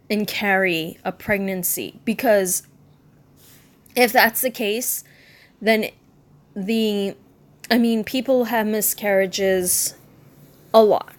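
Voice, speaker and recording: A woman in her mid-thirties, speaking candidly in a personal vlog, subdued and halting, with frequent ums and restarts. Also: mid-range voice. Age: 30s. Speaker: vlogger. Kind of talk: personal vlog talking to camera.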